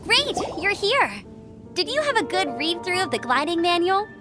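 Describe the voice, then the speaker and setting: A woman's voice: very high-pitched, very animated, normal speed. A woman voicing a peppy, upbeat young woman knight who chats eagerly in a game dub. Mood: cheerful. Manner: bubbly, upbeat, chatty. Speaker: gliding examiner. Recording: game audio with music behind.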